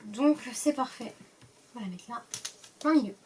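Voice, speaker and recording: A woman's voice: on the high side, moderate pace, distant mic, background noise. Stuffy-voiced woman chatting over card packs. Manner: chatty, hesitant, trailing off and reading card names aloud. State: stuffy from a cold. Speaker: Pokémon card collector opening packs. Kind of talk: casual pack-opening commentary.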